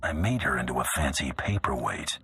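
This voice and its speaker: An actor playing a terse, deadpan male bounty hunter in a video game. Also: extremely low pitch, fairly quick, vocal fry, slightly rough, full character voice.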